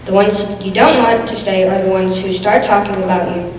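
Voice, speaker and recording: A woman's voice: mid-range voice, fairly even intonation, brisk, a crowd in the background. A young woman reciting a readers theatre monologue as a student performer. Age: teenager or young adult. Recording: echoey hall from far off, noisy.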